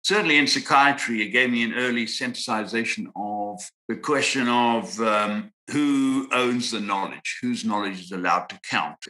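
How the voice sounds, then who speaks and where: A man in his sixties from Zimbabwe, a psychiatrist answering interview questions in a measured, reflective way with frequent pauses and restarts. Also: medium pitch, pushed and bright. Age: 60s. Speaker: guest psychiatrist.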